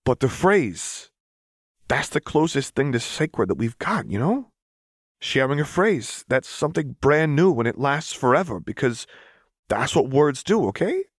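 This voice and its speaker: A man performs a brash young man from the rough side of the city in an audiobook. He talks in casual, emphatic slang, exasperated and wry. The sound is close and dry. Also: medium pitch, sing-song, unhurried, dry recording, voice pushed up. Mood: earnest, pressing. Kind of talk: audiobook character dialogue.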